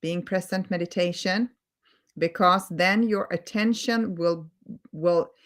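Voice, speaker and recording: A woman with a Swedish accent, a native Swedish speaker, giving a gentle, earnest live-stream talk as a holistic healing coach, repeating words for emphasis. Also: on the low side. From Sweden.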